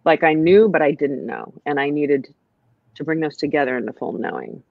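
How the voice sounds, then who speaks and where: A woman's voice: deep voice, normal speed, gravelly, no echo, lo-fi sound. An American woman, a writer, talking reflectively as a guest in a casual conversation, with many fillers and restarts. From United States.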